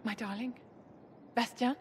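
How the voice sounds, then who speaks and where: An actress playing a proud, middle-aged noblewoman mage in a game cutscene, speaking formally and with measured elegance while holding grief in check. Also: on the high side, fairly even intonation, a little hiss or hum, close to an everyday voice. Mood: tender. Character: poised, proud noblewoman mage, grieving with restraint. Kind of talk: dramatic game cutscene acting.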